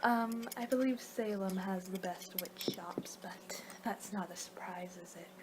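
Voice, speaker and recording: A woman speaks softly in an ASMR roleplay, often dipping into a whisper. Her pitch is middling for a woman, and she rambles playfully from one thought to the next. Clear background noise sits under the voice. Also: measured pace.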